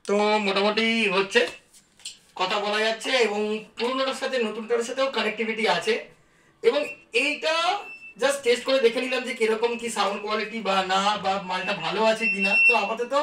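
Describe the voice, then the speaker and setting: A woman's voice: fairly high pitch, fairly quick, a bit bright, slight background noise. A woman giving a casual, chatty unboxing commentary, speaking straight to viewers with English words mixed in. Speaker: vlogger presenting a product. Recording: echoey room sound.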